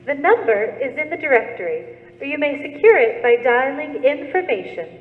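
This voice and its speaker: A tinny phone-filtered voice echoes in a hall. A woman acts a detached, polite telephone operator in a radio thriller, high-pitched and formal, with an unruffled sing-song delivery. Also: big pitch swings, normal speed. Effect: telephone line filter. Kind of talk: old-time radio thriller acting.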